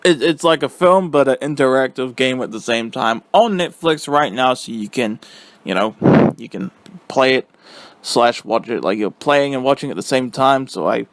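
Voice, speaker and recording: Male vlogger, average pitch, loose rambling reviewer with a goofy, hesitant delivery, very little room sound. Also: animated, moderate pace, dry recording. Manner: silly, giggly, easily cracks up. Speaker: vlogger giving film and game reviews. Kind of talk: casual rambling spoken review.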